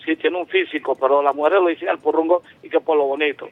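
A man's voice: on the high side, fairly even intonation, fast talker, no room sound. Rambling older man on a phone line. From Venezuela. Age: 70s. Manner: rambling, emphatic, with many restarts. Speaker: caller. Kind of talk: call-in radio talk. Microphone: telephone.

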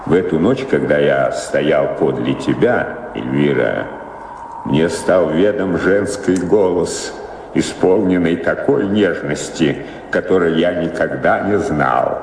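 An actor playing a dry, philosophical servant scribe in a radio drama, formal and deliberate. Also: male voice, extremely low pitch, darkish tone, heavily acted. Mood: solemn, reciting. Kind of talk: theatrical radio drama acting. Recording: reverberant hall, background noise.

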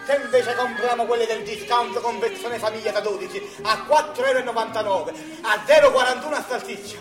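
An actress plays a penny-pinching, exasperated woman. Her voice is high and her delivery sarcastic and emphatic, in comic radio play style. The room echoes, with faint music behind. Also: fairly quick, close to an everyday voice. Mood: grimly calculating. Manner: emphatic, colloquial, foul-mouthed ranting. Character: penny-pinching, exasperated, sarcastic flatmate counting every cent. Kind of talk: comic radio drama acting.

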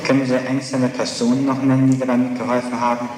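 A man's voice: mid-range voice, completely flat delivery, quick pace. Man questioning formally in a very echoey courtroom. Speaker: presiding judge questioning a defendant. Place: large reverberant courtroom. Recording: very echoey, noisy archival courtroom tape.

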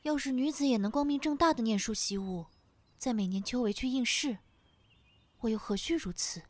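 Woman acting a high-voiced, refined young lady. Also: moderate pace, natural voice. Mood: wistful. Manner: formal, polite, classical phrasing. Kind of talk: Chinese period audio drama acting. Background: faint music.

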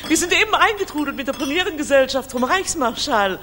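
Bright, gushing middle-aged diva. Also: female voice, high voice, expressive, a little fast. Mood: exuberant teasing. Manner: gushing, familiar, colloquial. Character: vivacious, chatty middle-aged operetta diva and doting aunt. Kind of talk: 1960s German radio drama acting. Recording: echoing mono radio studio, hiss.

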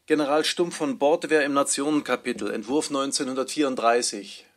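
A man's voice: mid-range voice, fairly quick. A man formally announcing chapter titles and draft dates in a scripted radio production.

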